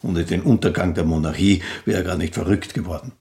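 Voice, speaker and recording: Husky, sardonic aristocratic gentleman. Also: deep voice, fairly even intonation, brisk, heavily acted. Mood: scornful, opinionated. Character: sardonic aristocratic Austrian gentleman, politically disillusioned. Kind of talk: literary radio drama.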